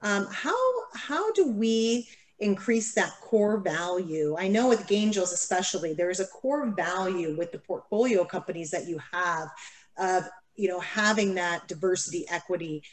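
A woman's voice: fairly low pitch, expressive, moderate pace, rough recording. A woman moderating a panel discussion, posing questions in a friendly, slightly hesitant way with fillers.

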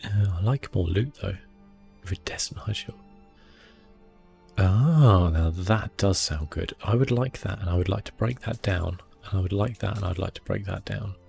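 Low, gravelly man, hushed gaming commentary. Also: moderate pace, soft background music. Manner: understated, hesitant, thinking aloud. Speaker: gaming video host. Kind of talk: relaxed ASMR game commentary.

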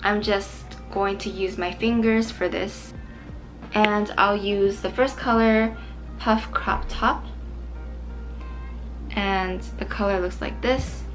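A young woman in her twenties chatting to camera while doing her makeup, her voice a little strained and hoarse from overuse. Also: mid-range voice, steady tone, slow, pauses often, faint music behind. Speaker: vlogger. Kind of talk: casual makeup chat to camera. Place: small bright room indoors.

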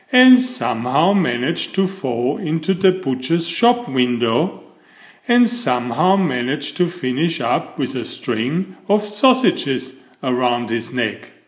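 A man reading a children's picture book aloud as storyteller, with deliberate, emphatic phrasing. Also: a little high, reverberant.

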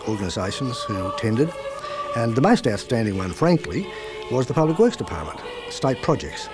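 An older man with an Australian accent, recalling events in a measured interview manner. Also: mid-range voice, big pitch swings, music in the background. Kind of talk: interview recollection.

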